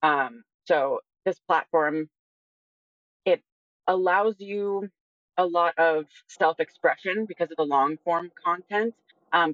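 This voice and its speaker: A woman in her early twenties giving a measured, explanatory personal account as an interview guest. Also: low voice, no echo, highs cut off. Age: early 20s. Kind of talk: reflective personal account in conversation.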